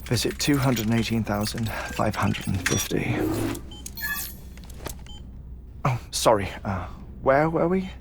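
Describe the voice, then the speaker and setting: A man's voice: mid-range voice, lively intonation, moderate pace, creaky, background noise. An actor in a game cutscene playing an eccentric, melancholy middle-aged man, with hesitant, stammering, formally worded speech. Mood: dry, matter-of-fact. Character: eccentric, melancholy middle-aged man living in twenty-one-minute cycles. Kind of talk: video game cutscene acting.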